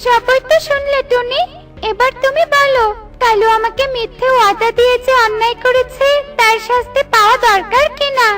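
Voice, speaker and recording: A woman's voice: very high-pitched, fairly even intonation, moderate pace, fairly bright tone, soft background music, pitched above the performer's own voice. A woman voicing an earnest, appealing mango tree character in a children's cartoon story. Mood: aggrieved. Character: wronged, pleading talking mango tree.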